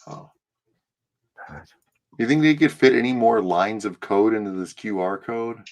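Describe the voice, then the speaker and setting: A man co-hosting a comics podcast, dropping deadpan, sardonic quips, with music behind. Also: fairly low pitch, a little slow. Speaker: podcast co-host. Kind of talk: casual comics chat.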